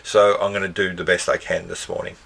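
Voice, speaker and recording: A man with a bright, forceful tone but a stuffed-up, slightly scratchy voice. He hosts a watch channel and talks casually to camera. His delivery is wry and emphatic, with a fairly low pitch. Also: level tone, brisk, gravelly.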